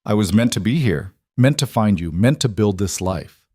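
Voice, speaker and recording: A man voicing a young woman who speaks quietly and firmly. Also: fairly low pitch, lively intonation, normal speed, slightly rough, no echo, clean studio sound. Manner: firm, quiet. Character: resolute young female rancher's wife.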